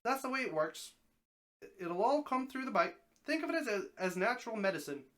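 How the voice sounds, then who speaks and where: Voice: medium pitch, big pitch swings, a little fast, fairly bright tone. A reader voicing a talking snake from a story, helpful and wry, explaining things in a matter-of-fact way. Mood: apologetic, explaining. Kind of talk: storybook dramatic reading.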